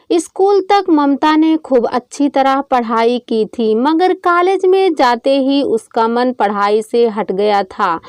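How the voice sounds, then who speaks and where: High-pitched, unhurried woman narrator. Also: a little mellow, no room sound. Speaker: storyteller. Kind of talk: scripted story narration.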